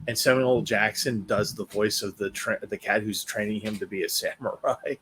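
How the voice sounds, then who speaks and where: A man's voice: fairly low pitch, fairly even intonation, moderate pace, fairly bright tone, slight background noise, poor audio. A man chatting casually as a panelist on a news show. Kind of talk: casual chat.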